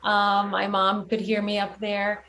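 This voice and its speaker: A middle-aged American woman giving reflective interview answers, thoughtful and pausing often. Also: medium pitch, monotone, fairly bright tone, slight background noise. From San Francisco, United States. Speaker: guest, performing and teaching artist.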